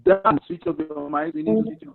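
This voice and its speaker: A man with a Kenyan accent hosting a video call interview, lively as he directs the participants and reads out viewers' questions. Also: very high voice, normal speed, vocal fry, no echo, soft background music. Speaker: host. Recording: tinny phone-like, dry call audio.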